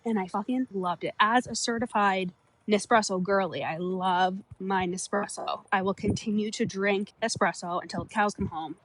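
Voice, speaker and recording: A woman's voice: mid-range voice, slight background noise, rough recording. A woman hosting her own podcast, talking bluntly and with lots of swearing, sounding stuffed up from a cold as she walks outdoors. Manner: blunt, profane, fast and energetic. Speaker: podcast host and business coach. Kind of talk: casual solo podcast monologue. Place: outdoors on an evening walk.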